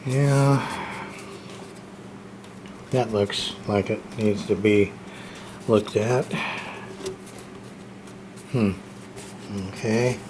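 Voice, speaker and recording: A man's voice: fairly low pitch, drawn-out words, pauses often, background noise. A man casually narrating a hands-on unboxing as a radio repair hobbyist, talking to himself in folksy short fragments.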